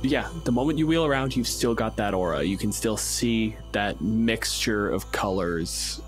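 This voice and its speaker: A man's voice: on the low side, unhurried. A man speaking casually as game master, narrating a tabletop role-playing scene and making rulings, sometimes swearing. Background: music under the voice.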